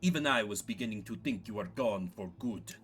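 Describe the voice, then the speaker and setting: Game villain voiced by a man: haughty, resentful robed rival, sharp tone, theatrical swings of pitch. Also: animated, a little fast, soft background music. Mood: bitter, sneering. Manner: pompous, grudge-bearing. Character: bitter, vengeful male rival in a gold robe.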